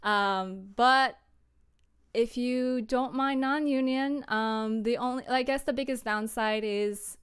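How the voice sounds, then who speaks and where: Young female streamer, smooth clear voice, informal and giggly, talking through a work process. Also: on the high side, slow, fairly bright tone. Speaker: streamer and audio drama producer. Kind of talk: casual chat, explaining her work process.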